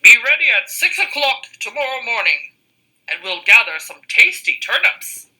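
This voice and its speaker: A blustering big bad wolf, as a woman reading aloud puts him on, bellowing his demands in a high, forceful, husky voice. The cartoonish storybook villain growls each line with huge swings of pitch and a slow, drawn-out delivery. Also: big pitch swings, heavily acted, poor audio. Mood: coaxing.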